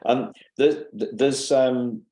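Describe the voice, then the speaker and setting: A man in his fifties hosting a podcast interview, asking questions in a halting way with many restarts. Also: mid-range voice, fairly even intonation, dark tone, reverberant, low-quality recording. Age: 50s. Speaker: podcast host.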